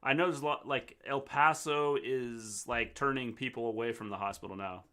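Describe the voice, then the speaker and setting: A man's voice: mid-range voice, moderate pace, pushed and bright. A middle-aged man co-hosting a wrestling livestream, reading chat comments and making dry, teasing asides. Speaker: co-host, reads chat.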